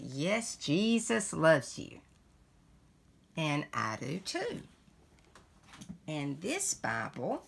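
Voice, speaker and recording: Slow, lively older woman reading to children. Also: sits low, creaky, slightly rough. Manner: deliberate word-by-word delivery for young children. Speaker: read-along host. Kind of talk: children's picture book read-aloud. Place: indoors at home.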